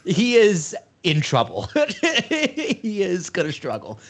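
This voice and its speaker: A man's voice: high-pitched, wildly swooping pitch, takes it slowly. A man co-hosting a podcast, talking loosely and enthusiastically in casual chat. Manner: loose, rambling, enthusiastic fan talk. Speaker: podcast co-host.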